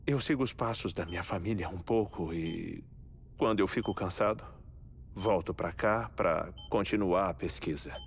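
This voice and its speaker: A man with a Brazilian accent acting a grieving, eccentric scholarly scientist in a dubbed video game, telling things in a measured, reflective way. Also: sits low, slight background noise. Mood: melancholy, reflective.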